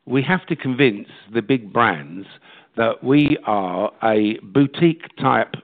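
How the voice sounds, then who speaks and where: A man's voice: mid-range voice, animated, takes it slowly, creaky. A man who runs a marketing agency answers interview questions in a businesslike way, with hesitant fillers. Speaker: digital marketing agency head. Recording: tinny phone-like dry sound.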